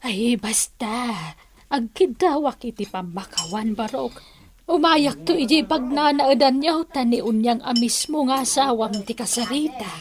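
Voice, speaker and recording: A woman portrays a humble village mother in an Ilocano radio drama. She speaks in a high, pleading voice with a Filipino accent. A faint murmur of a crowd sits behind her. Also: measured pace, lightly acted. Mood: ashamed, apologetic.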